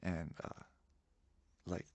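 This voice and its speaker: A man from Colorado, a musician and podcast guest, telling a childhood story in a relaxed way with lots of fillers. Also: extremely slow, no room sound. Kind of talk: casual storytelling.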